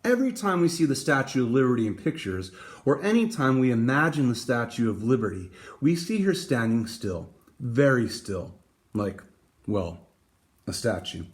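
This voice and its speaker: A man reading a picture book aloud, with dramatic emphasis and deliberate pauses. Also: mid-range voice, big pitch swings. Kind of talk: expressive storybook read-aloud.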